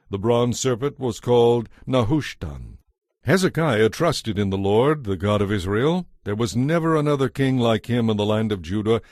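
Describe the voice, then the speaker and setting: A man's voice: medium pitch, darkish tone, no room sound, studio quality. Solemn male scripture narrator over music. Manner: solemn, measured storytelling. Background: music behind the voice.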